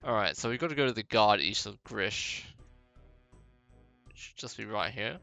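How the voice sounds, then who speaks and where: A man's voice: fairly low pitch, moderate pace, gravelly, bright, forceful tone, faint music behind. A man narrating and voicing a video game playthrough, moving between read dialogue and casual commentary. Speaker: gamer voicing a quest playthrough.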